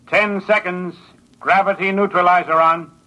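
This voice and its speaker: A man acting a brisk, officious spaceship traffic controller in clipped callouts, in mid-century radio drama style. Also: high-pitched, steady tone, sharp tone. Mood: brisk, official. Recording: dull, lo-fi old radio recording.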